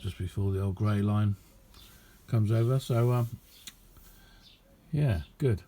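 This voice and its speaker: A man's voice: on the low side, slow, dark tone. A middle-aged man, chatting casually in a rambling, understated, self-deprecating way as he shows a hobby project. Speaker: amateur radio hobbyist showing his kit. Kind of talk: casual hands-on video chat. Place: small indoor radio room.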